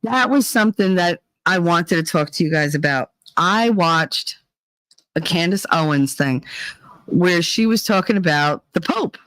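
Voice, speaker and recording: A middle-aged woman with a deep, chesty voice, hosting a livestream in casual, profane chat while reading viewer comments. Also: expressive, a little slow, a bit bright, no room sound, low-quality recording. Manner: chatty and profane, rambling with many fillers. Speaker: livestream host.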